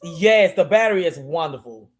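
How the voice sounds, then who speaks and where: A woman, relaxed, telling stories on a casual fan chat and repeating words for emphasis. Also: fairly low pitch, normal speed, crowd noise. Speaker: podcast co-host.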